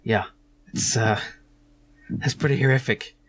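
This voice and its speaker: Slow-talking man commentating on a game. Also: medium pitch, fairly even intonation. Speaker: let's play host. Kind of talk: casual game commentary, reading lines aloud.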